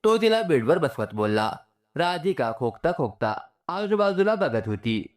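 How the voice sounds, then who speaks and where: A man narrating a scripted emotional story, voicing the dialogue in an expressive way. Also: a little high, measured pace.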